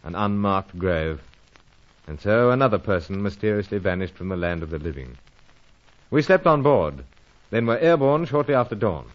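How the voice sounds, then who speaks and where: An actor playing a hearty, unflappable British secret agent in an old-time radio adventure serial, with a plummy, polite, chummy manner. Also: male voice, fairly low pitch, big pitch swings, moderate pace. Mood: grave narrating. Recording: muffled recording with clear engine noise.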